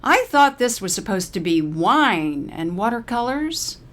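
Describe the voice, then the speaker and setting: A woman voicing a crotchety, sharp-tongued old woman from a retirement home story, brash, demanding and wisecracking. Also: on the high side, very animated, unhurried, voice pushed up. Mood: pushy, sarcastic.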